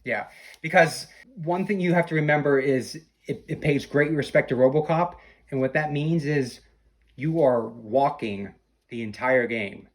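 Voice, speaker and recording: A man's voice: medium pitch, unhurried. A man chatting casually as a podcast guest reviewing a video game, often repeating words as he explains.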